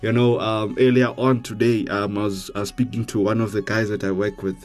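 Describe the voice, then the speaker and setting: A young South African man co-hosting a faith radio show, chatting casually in a halting way with lots of fillers and restarts. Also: lowish voice, little pitch movement, a little mellow. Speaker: radio co-host.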